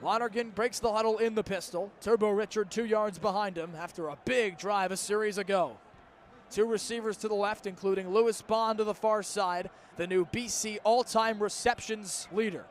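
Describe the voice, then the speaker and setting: A young man works as a radio play-by-play announcer for college football. His voice sits high for a man and he describes the action in short, clipped phrases. Faint outdoor stadium noise runs behind him. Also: fairly even intonation, normal speed. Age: young adult.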